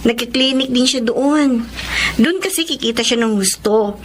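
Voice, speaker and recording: An actress playing an affectionate, outspoken young adult little sister in a Filipino radio drama, frank and chatty. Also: female voice, on the high side, moderate pace, slight background noise, lightly acted.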